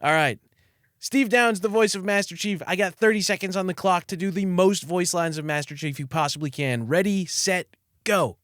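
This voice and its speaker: A man's voice: high-pitched, normal speed, a bit bright, dry recording, clean studio sound. A man interviewing a guest, eager and enthusiastic. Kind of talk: casual interview.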